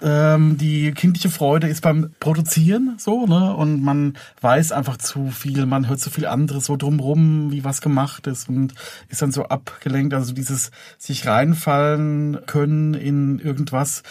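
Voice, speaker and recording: Husky man in casual interview. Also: a little high, fairly even intonation, unhurried, dark tone, dry recording, clean studio sound. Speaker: audio drama producer, interview guest. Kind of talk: casual, reflective interview talk.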